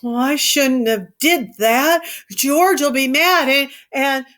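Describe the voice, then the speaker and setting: A woman's voice: high voice, takes it slowly, fairly bright tone, pitched above the performer's own voice. A woman voicing a big, simple-minded ranch hand man who pleads and cries like a child. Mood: frightened.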